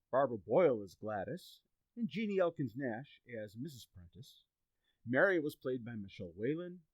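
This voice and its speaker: A man's voice: medium pitch, dry recording, low-quality recording. A man reading scripted credits and sign-off as a radio announcer.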